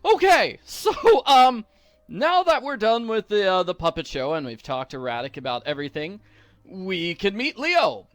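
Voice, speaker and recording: A man hosts a game walkthrough in a fairly high, swooping voice. He is casual and playful, given to theatrical delivery, recorded dry and close. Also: sing-song, normal speed, a bit bright, no room sound. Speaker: video game walkthrough host. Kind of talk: casual gameplay commentary.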